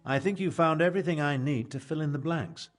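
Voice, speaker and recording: An actor playing a haughty, scholarly battlemage in a fantasy video game, with a dry, pompous, deliberate delivery. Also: male voice, a little high, close to an everyday voice. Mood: scornful, intrigued. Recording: long hall reverb.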